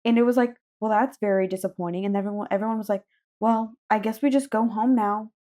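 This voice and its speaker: A woman's voice: mid-range voice, fairly even intonation, fast talker, mellow. A woman co-hosting a casual podcast, chatting in an informal, effusive way. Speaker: podcast co-host. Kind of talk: casual book chat.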